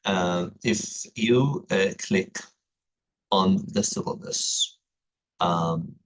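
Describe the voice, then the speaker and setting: A man in his thirties from Egypt with an Egyptian Arabic accent, a native Arabic speaker, gives a recorded online class introduction as a university writing instructor, talking carefully with many pauses and fillers. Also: sits low, rough recording. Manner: hesitant, many fillers, careful explanatory delivery. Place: home, late at night.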